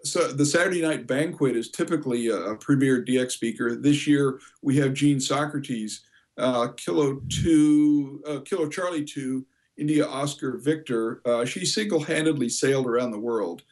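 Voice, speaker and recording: An American man in his sixties speaks as a guest on a video call from a home office. His voice is medium in pitch. He explains informally and in a measured way, pausing with some hesitation. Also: darkish tone. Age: 60s. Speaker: guest, convention co-chair. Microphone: computer video call.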